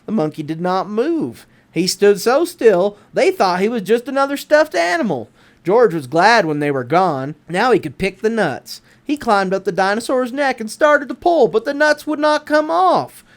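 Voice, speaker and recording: A man narrates a picture book for children in a Southern US accent. His delivery is expressive and theatrical, leaning deliberately on key words. The pitch rises and falls in wide, lively swings. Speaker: storybook narrator. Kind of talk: expressive children's read-aloud.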